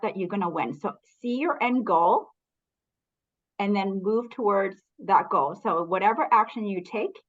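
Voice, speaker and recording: A middle-aged woman from Canada speaks as an interview guest, candid and motivational as she shares her path. Her voice sits at an ordinary pitch for a woman. The recording sounds a little muffled and lo-fi. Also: a bit bright. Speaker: guest, business coach. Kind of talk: casual interview storytelling.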